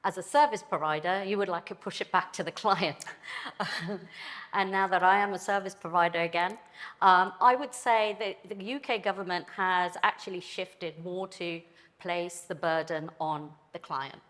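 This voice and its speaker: A woman in her fifties answers as a panelist at a conference, measured and explanatory. Her voice sits somewhat low, and the hall leaves a long reverb behind her words. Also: fairly even intonation, fairly bright tone. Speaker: immigration panelist.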